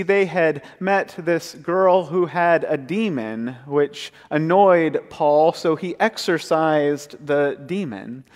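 A man in his thirties, a pastor, preaching a sermon from the pulpit and retelling scripture in a measured storytelling way. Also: on the high side. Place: church sanctuary, large, indoors. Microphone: pulpit mic, far camera. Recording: long church reverb.

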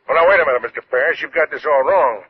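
An actor playing a firm homicide inspector in an old radio detective drama, with a husky, gruff and authoritative delivery. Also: male voice, on the high side, very fast, slight background noise, natural voice. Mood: indignant. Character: firm, no-nonsense male homicide police inspector. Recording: dull, lo-fi old radio recording.

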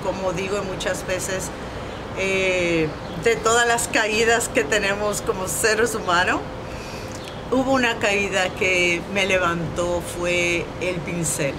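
A middle-aged woman with a Dominican accent talks reflectively about her art in an interview, pausing often. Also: medium pitch, fairly even intonation, noisy background. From Dominican Republic. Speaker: visual artist, interview guest.

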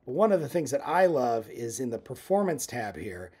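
A man's voice: medium pitch, very animated, moderate pace, a little mellow, husky. A man in his fifties giving an informal instructional walkthrough as a sailing coach, conversational and explanatory.

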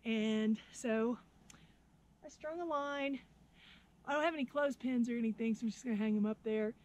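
A woman's voice: a little high, moderate pace, darkish tone. Chatty upbeat woman, arm's-length camera outdoors. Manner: chatty, laughs easily, upbeat. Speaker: vlogger, history teacher. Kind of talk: casual outdoor vlog chat. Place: outdoors at a windy off-grid camp.

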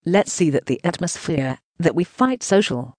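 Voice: mid-range voice, lively intonation, normal speed, darkish tone, no echo, clean studio sound. A synthetic text-to-speech voice reading translated news narration in a choppy way, speaking punctuation marks aloud.

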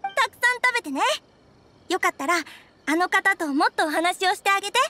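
A woman's voice: very high-pitched, animated, a little fast, slight background noise, heavily acted. A woman playing a friendly, caring restaurant owner in an anime game, speaking in a cheerful, sociable manner. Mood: kindly pleading. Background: soft background music.

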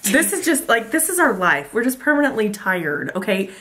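An excitable woman, chatting into a webcam in a small room. Her pitch swings up and down a lot, and she jumps in and acts out her own reactions. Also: moderate pace. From Texas. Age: late 20s. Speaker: vlogger. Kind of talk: casual chat with a friend. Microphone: webcam in front.

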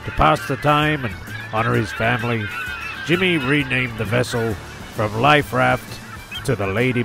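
Creaky-voiced man narrating a comic tale. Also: mid-range voice. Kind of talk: scripted comic storytelling narration.

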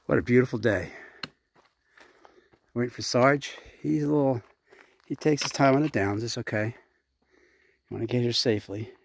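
A man casually narrating his hike to a handheld camera outdoors on a mountain trail, chatty and unhurried, winded at times from the climb. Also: mid-range voice, gravelly, a little hiss or hum. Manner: chatty, unhurried, reads signs aloud. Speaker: hiking vlogger.

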